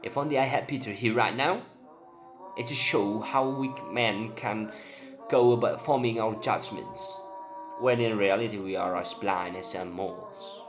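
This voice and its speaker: A man playing an excitable, proud town doctor in an amateur dramatic play reading, with an emphatic, declamatory delivery. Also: fairly low pitch, unhurried. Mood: triumphant, impatient. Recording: voice over prominent background music.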